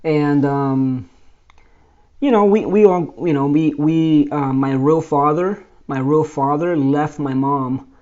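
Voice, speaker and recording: A man's voice: fairly high pitch, slow, darkish tone, lo-fi sound. A man in his forties from Brooklyn, New York, casually telling his life story with many fillers and restarts. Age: 40s.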